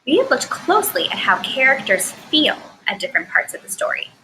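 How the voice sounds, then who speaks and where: A woman teaching a recorded lesson to first graders in clear, encouraging, expressive speech. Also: high voice, fairly quick, slight background noise, low-quality recording.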